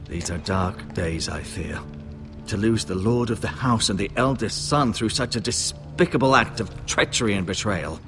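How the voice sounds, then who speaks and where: An actor playing a grave older castle healer in a fantasy game, speaking in a measured, formal, musing manner with dry gravity. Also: male voice, on the low side, animated, over music, lightly acted. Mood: mournful, musing. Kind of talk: dramatic video game acting.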